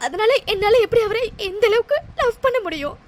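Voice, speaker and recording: A woman's voice: very high-pitched, animated. A woman voicing a heartbroken young woman, crying and stammering, in an amateur audio drama. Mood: sobbing, broken. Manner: halting, stammering sobs. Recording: noisy home recording with hiss.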